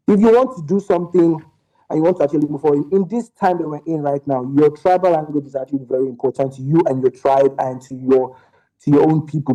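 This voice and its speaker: A man's voice: on the high side, dark tone, rough recording. A young man, arguing his point emphatically as a panel guest over a remote call, full of rhetorical questions. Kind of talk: opinionated panel discussion.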